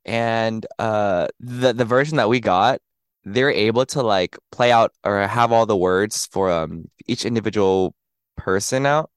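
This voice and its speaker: A man in his late twenties hosting a podcast in casual chat, animated and giggly, his voice slightly raspy today. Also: fairly low pitch, measured pace, dry recording, clean studio sound. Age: 20s. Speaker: podcast host.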